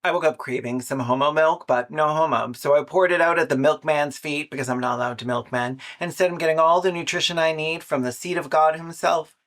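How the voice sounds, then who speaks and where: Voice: mid-range voice, fairly even intonation, brisk, few pauses, a bit bright. A video essayist giving scripted, sarcastic commentary with casual swearing and comedic asides.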